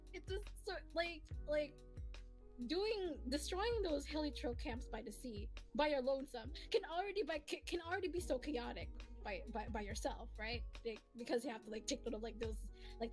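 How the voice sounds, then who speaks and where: Woman streamer, very high voice, chatty and giggly rambling storyteller, faint music underneath. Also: brisk. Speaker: streamer and co-host. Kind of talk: casual chatty livestream talk.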